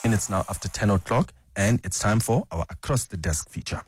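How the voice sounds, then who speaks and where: A man hosting a morning radio talk show, relaxed and chatty, with frequent ums. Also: lowish voice, brisk, over music. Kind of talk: radio interview. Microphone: studio microphone.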